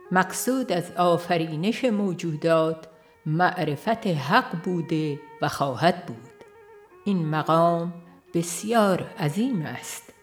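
Woman audiobook narrator, lowish register, slow reflective delivery over faint music. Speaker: audiobook reader. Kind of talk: scripted devotional reading. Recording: faint instrumental music behind.

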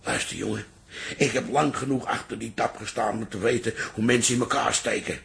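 An actor playing a gruff, blustering old bar-keeping father in a radio drama. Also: mid-range voice, brisk, fairly bright tone. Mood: weary, worried. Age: older. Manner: blustering, scolding.

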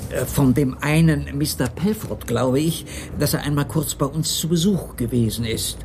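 A man's voice: a little high, normal speed, lightly acted. An actor playing a timid, fussy male porter and secretary in a German crime radio play, speaking politely and formally with hesitations. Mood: hesitant, hushed. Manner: hesitant, polite, formal, breaking into hushed tones. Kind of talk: 1980s German crime radio drama acting. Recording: studio radio play with noisy background ambience.

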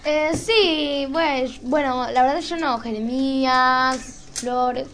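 A woman's voice: sits high, slow pace, a bit bright. A young woman with a Rioplatense Argentine accent, chatting casually on radio and searching for her words. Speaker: radio show presenter. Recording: faint background noise.

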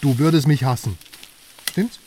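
A brash, eager reporter played by a male actor in a naturalistic radio drama. He talks in a chatty, sardonic, emphatic way at a medium pitch, a little distant, with faint noise around him. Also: normal speed, a little mellow, natural voice. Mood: teasing. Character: brash, eager West German investigative journalist.

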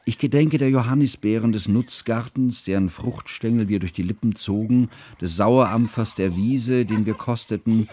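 An actor playing an earnest, bookish man narrating memories of a friend in formal, measured for a radio drama. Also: lowish voice, dark tone, close to an everyday voice. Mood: reflective. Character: earnest, bookish male narrator recalling his friend's childhood.

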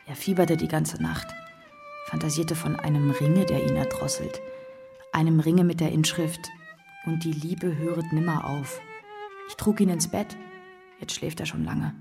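A careworn, guarded wife in a radio play. Her voice is fairly low and creaky, and she speaks tersely and plainly. Music plays clearly behind her. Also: fairly even intonation, measured pace. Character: guarded, careworn wife tending an ailing husband. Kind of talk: German radio play acting.

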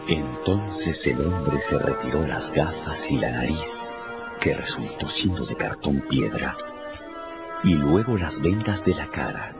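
A man's voice: a bass voice, animated, slow, muffled recording, over loud music. A man delivering dramatic scripted narration for a radio story.

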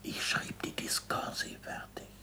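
A man acting in a German radio play as a weary, wry old scholar with a breathy, gravelly voice and a dry, deliberate delivery. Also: close to an everyday voice. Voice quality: gravelly, breathy half-whisper.

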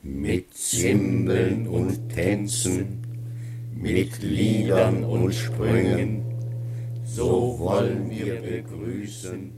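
Casting: a festive chorus celebrant for radio comedy, very slow chant over loud instruments. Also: male voice, low-pitched, fairly even intonation. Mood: jubilant, ceremonial. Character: solemn, celebrating male chorus member. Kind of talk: German radio play acting of classical comedy. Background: loud instrumental music.

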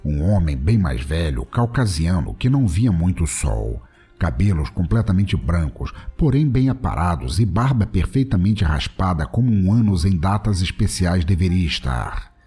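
Very deep, husky male narrator. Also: sing-song, mellow, faint music behind. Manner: deliberate, mock-solemn. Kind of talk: dramatic scripted comedy narration.

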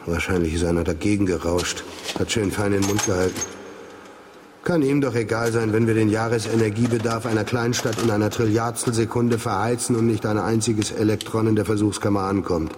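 An actor as a grumbling, low-voiced male scientist. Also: steady tone, fairly quick, lightly acted. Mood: irritated, sarcastic. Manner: griping, sarcastic, rhetorical. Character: grumbling, envious male quantum physicist, sarcastic and wordy. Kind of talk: radio drama dialogue. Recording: clearly noisy background.

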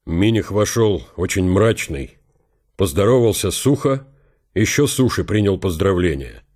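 A man's voice: sits low, expressive, unhurried, darkish tone. A man reading literary narration for a historical radio drama in a scripted style.

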